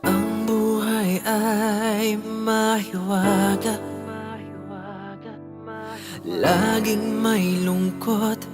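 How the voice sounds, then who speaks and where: A woman's voice: mid-range voice, little pitch movement, very slow, long pauses. A woman reading a scripted program tagline. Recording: loud music over the voice.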